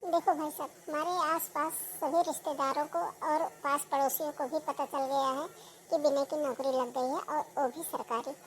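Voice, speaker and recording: A woman's voice: sits high, steady tone, normal speed, slight background noise, natural voice, low-quality recording. A woman voicing a greedy, demanding middle-aged mother-in-law in a cartoon. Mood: firm. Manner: demanding, grasping. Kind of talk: Hindi cartoon voice acting.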